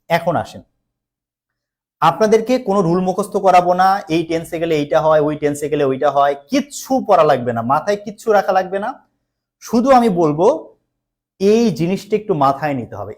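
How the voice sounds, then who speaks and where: A man teaching an online English grammar class for exam preparation. He explains patiently, repeats key points and puts questions to his students. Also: fairly high pitch. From Bangladesh.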